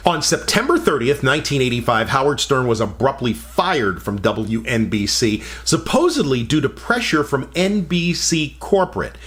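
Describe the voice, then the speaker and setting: A man's voice: mid-range voice, big pitch swings, moderate pace, few pauses, a bit bright. A man presenting an archive channel, reading scripted narration.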